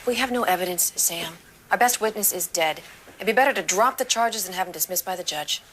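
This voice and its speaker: A woman playing a firm, pragmatic prosecutor in her thirties in an audio drama, brisk, blunt and challenging. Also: on the low side, fairly bright tone, a little hiss or hum, close to an everyday voice. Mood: matter-of-fact, skeptical. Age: 30s. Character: firm, pragmatic 37-year-old woman prosecutor, overworked but professional. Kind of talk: dramatic dialogue acting.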